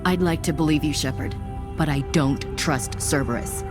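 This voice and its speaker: Woman acting low-voiced, proud, wounded female soldier. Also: normal speed, music in the background, natural voice. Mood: bitter, resolute. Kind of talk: dramatic video game dialogue.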